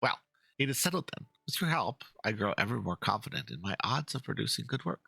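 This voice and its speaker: A man's voice: on the low side, sing-song, fast talker, gravelly, pushed and bright, slightly rough, no echo, exaggerated voice. A man voicing a passionate, pompous painter who speaks in florid, theatrical phrases, in a dramatic reading of game dialogue. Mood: eager, courteous. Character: passionate, fussy male painter, florid and easily indignant.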